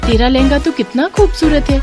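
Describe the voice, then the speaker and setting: A woman voicing a sweet, dutiful young village daughter in a gentle, earnest, girlish manner for a moral story. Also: sits high, brisk, loud music behind the voice, voice pushed up, lightly acted. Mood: affectionate.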